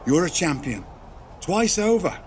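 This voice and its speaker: An actor playing a warm, wry older wrestling mentor in a video game cutscene. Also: male voice, high voice, sing-song, a little slow, a little hiss or hum. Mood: warm encouragement.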